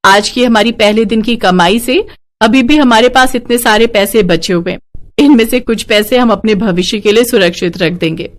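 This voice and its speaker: Cartoon moral tale: actress as young sister-in-law, high voice, earnest, tender, emotional delivery. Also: female voice, normal speed, natural voice. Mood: excited. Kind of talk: animated moral story dialogue.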